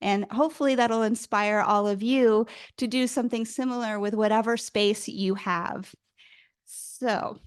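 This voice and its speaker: A woman's voice: mid-range voice, smooth. A woman hosting an event, giving a welcoming, appreciative introduction speech for a speaker.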